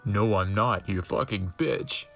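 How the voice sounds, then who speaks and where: A man's voice: fairly low pitch, a little slow, faint music behind, pitched below the performer's own voice. A man voicing a sulky, bitter teenage wizard boyfriend in a story, scornful and accusing. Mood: angry.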